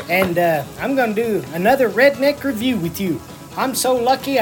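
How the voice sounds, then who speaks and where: A chatty, proud redneck reviewer, played by a woman, has a slightly high voice. The casual monologue runs over faint music. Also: natural voice. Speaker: recurring review segment presenter.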